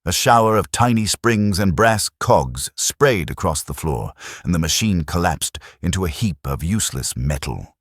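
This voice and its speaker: A man reads a science fiction audiobook in a very deep, husky voice. His delivery is dramatic and measured, with lively swings in intonation. The sound is close and dry. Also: no echo. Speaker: narrator. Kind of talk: scripted audiobook narration.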